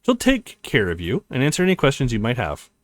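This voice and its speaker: A man voicing a stern, busy woman professor with a brisk, condescending manner in a visual novel. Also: medium pitch, huge pitch swings, no echo, voice pushed down.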